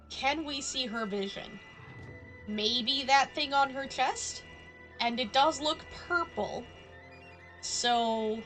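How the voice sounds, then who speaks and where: A woman's voice: fairly high pitch, fairly bright tone, poor audio. A woman casually commenting on a game during a live stream, musing to herself.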